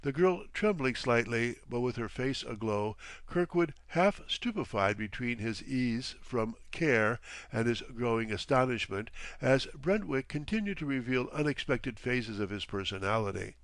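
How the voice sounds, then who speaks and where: A man reading period fiction as an audiobook narrator, in expressive scripted narration. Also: mid-range voice, measured pace, no echo.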